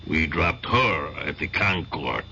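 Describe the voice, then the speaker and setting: A man's voice: very deep voice, lively intonation, takes it slowly, creaky, full character voice. A man playing a threatening French henchman in an old radio drama, with a French accent. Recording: dull radio broadcast, loud hiss.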